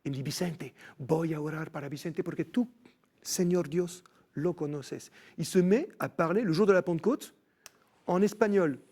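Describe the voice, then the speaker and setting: A man's voice: a little high, moderate pace, slightly rough. A man, a musician guest in a studio, telling a personal faith testimony and acting out the voices he quotes. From France. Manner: animated storyteller, acts out quoted voices. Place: TV studio set.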